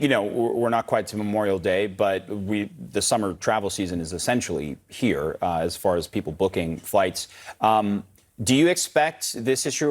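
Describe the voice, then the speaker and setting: A man anchoring a live TV news interview, putting questions to a guest in a conversational, slightly halting way. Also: fairly low pitch.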